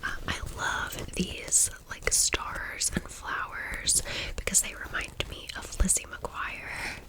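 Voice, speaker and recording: A woman's voice: slow pace, low-quality recording. A woman from Tennessee in her thirties whispering in a chatty, confiding way as an ASMR storytime host. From Tennessee, United States. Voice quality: breathy whisper.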